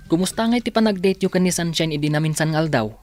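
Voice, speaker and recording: A man's voice: fairly high pitch, fast talker, a little mellow, dry recording, soft background music, lightly acted. An actor playing an easygoing, teasing young male cousin in an Ilocano radio drama.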